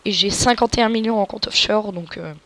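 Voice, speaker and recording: A woman's voice: medium pitch, fairly quick, a bit bright, no echo. A woman with a cold giving casual, crude, joking gameplay commentary and explaining tactics to viewers as a let's play gamer. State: has a cold.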